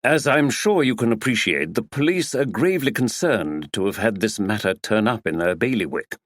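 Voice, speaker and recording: A courteous male investigator, formal and measured in every phrase, as a narrator plays him. His voice is low and dry, with a lively lilt. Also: dry recording. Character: polite, probing male investigator.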